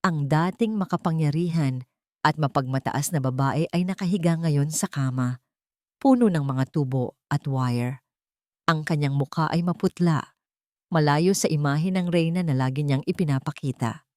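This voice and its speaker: Fairly low-voiced woman narrating a drama. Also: moderate pace, darkish tone, no echo, clean studio sound. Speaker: storyteller. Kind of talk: dramatic scripted first-person narration.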